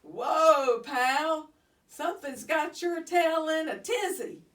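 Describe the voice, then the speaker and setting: A woman's voice: sits high, slow, a bit bright, distant mic, voice pushed up. A woman voicing a cheerful, friendly male school custodian in a storybook, hearty and chummy.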